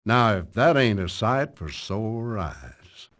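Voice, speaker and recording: Wry Southern storyteller, animated. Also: male voice, lowish voice, takes it slowly, exaggerated voice. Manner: wry, homespun. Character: folksy, wry male Southern storyteller narrator. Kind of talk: scripted folksy narration.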